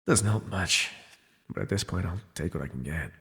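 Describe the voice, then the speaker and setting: A man playing a jaded, wry imprisoned telepath supervillain in an ASMR audio roleplay, speaking in a sardonic, weary, gravelly way with sighs. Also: low voice, lively intonation, normal speed, fairly bright tone, long reverb tail, full character voice. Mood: dry, resigned.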